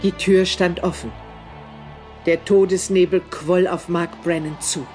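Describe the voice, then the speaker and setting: A man's voice: high-pitched, fairly even intonation, measured pace, darkish tone. A man reading scripted, dramatic narration for a German horror audio drama. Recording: music behind the voice.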